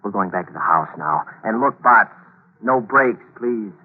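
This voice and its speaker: An actor playing a tough, wry amateur undercover hero in a 1940s radio thriller, speaking in a terse, dry way. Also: male voice, lowish voice, lively intonation, a little slow. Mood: in control. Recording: tinny 1940s radio recording.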